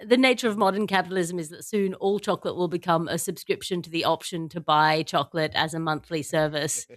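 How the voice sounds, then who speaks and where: A woman's voice: on the low side, a little fast, no room sound. A woman hosting a satirical comedy panel show, speaking in a wry, articulate way and often breaking into laughter. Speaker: podcast host.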